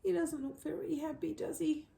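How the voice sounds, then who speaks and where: A woman reading a picture book to young children, pausing to ask the listeners questions. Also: sits high, steady tone, creaky, warm tone. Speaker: storyteller.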